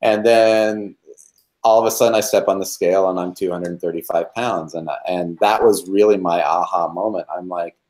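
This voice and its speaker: A man's voice: low-pitched, fairly even intonation, moderate pace, a little mellow, rough recording. A man around fifty, a podcast guest, candidly telling his own story with self-deprecating humor and emphatic repetitions.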